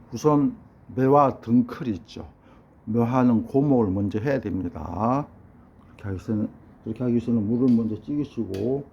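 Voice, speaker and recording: A hoarse, middle-aged man with a cold gives a measured ink-painting lesson while he paints. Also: fairly low pitch. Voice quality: gravelly. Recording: dull lo-fi sound with faint noise.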